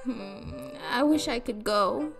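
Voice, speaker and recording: A very girlish young sweetheart in old-time radio style. Her voice is high and she coos coyly in cutesy baby talk. Music plays clearly behind her. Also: slow pace. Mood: wistful, pleading. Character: sweet, girlish, coy young secretary in love. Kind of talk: retro 1940s radio drama acting.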